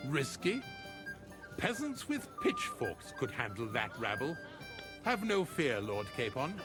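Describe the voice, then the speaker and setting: An actor playing a boastful, wine-loving lord chamberlain in a game cutscene, hearty, pompous and overconfident. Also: male voice, medium pitch, huge pitch swings, slow pace, over music. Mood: jovial, dismissive. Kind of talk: video game cutscene acting.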